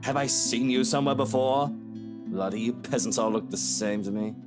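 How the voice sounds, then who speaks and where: A woman's voice: extremely low pitch, moderate pace, music in the background, pitched below the performer's own voice. A woman voicing a haughty, irritable rich man in a visual novel, speaking in condescending, sardonic, formal phrases. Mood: sardonic, disdainful. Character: haughty, irritable wealthy man, contemptuous of commoners. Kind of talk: visual novel character voice over gameplay.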